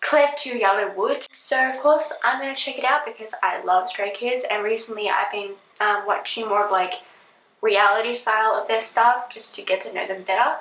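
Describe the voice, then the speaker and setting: A woman's voice: medium pitch, normal speed, fairly bright tone. Giggly woman reacting, echoey room. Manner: chatty, giggly, filler-heavy. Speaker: reaction video host. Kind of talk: casual reaction commentary.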